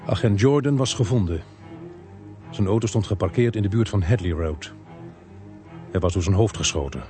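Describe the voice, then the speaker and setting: A man's voice: fairly low pitch, moderate pace, over music, close to an everyday voice. A man playing the sober narrator of a detective radio drama, speaking in terse, matter-of-fact scripted sentences. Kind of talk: scripted first-person narration.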